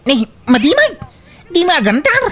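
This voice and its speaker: A woman plays a bewildered, fretful village householder in an Ilocano comedy radio drama. The voice sits fairly high and rises and falls widely, questioning and exclaiming in an Ilocano accent. There is clear noise in the background. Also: wildly swooping pitch, measured pace, heavily acted. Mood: grumbling.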